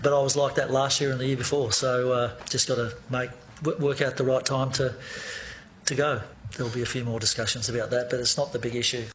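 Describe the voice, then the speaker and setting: Male coach, rapid flat delivery, hesitant, faint background noise. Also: mid-range voice, poor audio. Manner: hesitant, quick. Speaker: football coach. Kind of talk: press conference clip.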